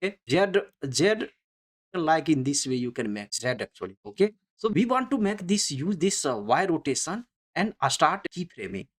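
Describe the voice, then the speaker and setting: Halting software tutorial instructor with non-native English. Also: mid-range voice, sing-song. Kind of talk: unscripted screen-recorded step-by-step tutorial narration.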